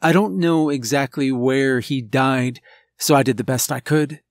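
Guy reading a scary story, slow and dramatic, dry close sound, bit of music behind. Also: mid-range voice, no room sound. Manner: deliberate, dramatic pauses. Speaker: horror story narrator. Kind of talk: dramatic scripted horror story narration. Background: background music.

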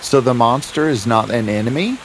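A man voicing an earnest, kind-hearted young prince with animated delivery in a fairy-tale cartoon. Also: medium pitch, a little fast, a little hiss or hum, natural voice. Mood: puzzled, earnest. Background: prominent background music.

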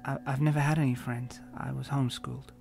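A man's voice: medium pitch, level tone, quick pace, gravelly, music in the background, voice pushed up, natural voice. A man doing a stammering shy teen girl. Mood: timid. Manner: stammering, hesitant.

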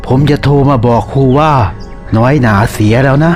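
A man's voice: medium pitch, moderate pace, a little mellow, soft background music, natural voice. A man playing a grieving middle-aged father in a cartoon ghost story, sombre and plain-spoken. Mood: grave, grieving.

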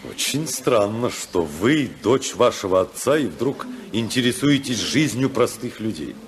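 A man's voice: medium pitch, lively intonation, unhurried, natural voice. A male actor playing a blunt, uneasy rebel politician in a 1950s radio drama. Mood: earnest, uneasy.

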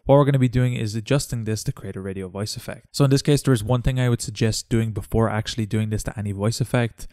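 A man narrating a step-by-step software tutorial as its host. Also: fairly low pitch, fairly even intonation, fast, few pauses, no room sound, clean studio sound.

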